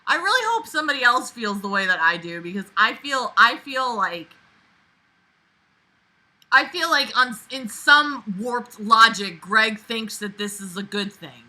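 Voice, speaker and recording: A woman reading aloud and commenting with exasperated, animated, emphatic reactions that swing dramatically in intensity. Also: a little high, unhurried, low-quality recording.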